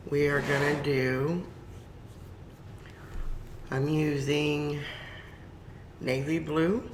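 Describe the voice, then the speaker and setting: A woman with a raspy, hoarse voice from a cold, hosting a chatty step-by-step crafting tutorial with frequent ums. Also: extremely low pitch, a little hiss or hum.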